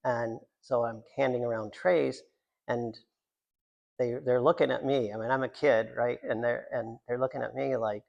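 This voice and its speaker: A man with a noticeably gravelly, creaky voice at an ordinary middle pitch gives a live literary reading of his own writing. He slips into rambling, self-deprecating asides with a joking manner. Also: steady tone, normal speed, darkish tone, highs cut off. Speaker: writer reading from own work.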